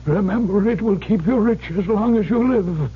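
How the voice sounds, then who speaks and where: An actor playing a dying, rich old uncle in a radio drama, giving grave, emphatic warnings. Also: male voice, high voice, slight background noise, natural voice. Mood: urgent warning. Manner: slow, solemn, emphatic warnings. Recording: dull, humming old radio recording.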